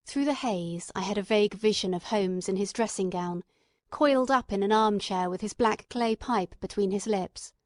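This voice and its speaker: A woman narrating a classic novel as a scripted audiobook in a measured, literary manner. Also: medium pitch, no room sound, clean studio sound.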